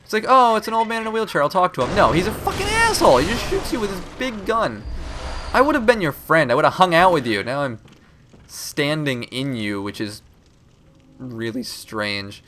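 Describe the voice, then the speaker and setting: A man's voice: fairly high pitch, very animated, moderate pace. A young man with a stuffy nose from a cold, casually chatting and swearing as he plays a game for viewers. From Florida. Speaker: let's play host. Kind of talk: casual gameplay commentary. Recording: recording with clear background noise.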